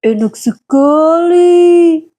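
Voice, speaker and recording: A woman voicing a gentle, patient father who explains things slowly to his young daughters in a children's story. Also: extremely high pitch, heavily acted. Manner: slow, soft, explaining. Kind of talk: storybook dialogue.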